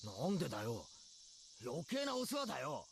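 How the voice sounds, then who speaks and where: A man's voice: on the high side, huge pitch swings, quick pace, gravelly, noisy background, heavily acted. An actor voicing a tense, irritable teenage boy in a game, talking curtly and defensively. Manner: curt and defensive. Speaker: game character.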